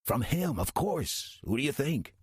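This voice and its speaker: An actor playing a weary, sardonic demon posing as a church deacon in an audio drama, dry, urbane and condescending. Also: male voice, very animated, a little slow, no echo, exaggerated voice. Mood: wry, confiding. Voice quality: husky, low voice. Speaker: narrator and lead character of audio drama. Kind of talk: audio horror-comedy drama acting.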